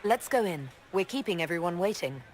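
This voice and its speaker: Blunt female leader played by a woman, slightly low voice, dry and unimpressed, fantasy game cutscene. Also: lively intonation, brisk, a faint crowd, a little hiss or hum, natural voice. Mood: cool, determined. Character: cool, blunt young elven heroine leading an order. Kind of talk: video game cutscene acting.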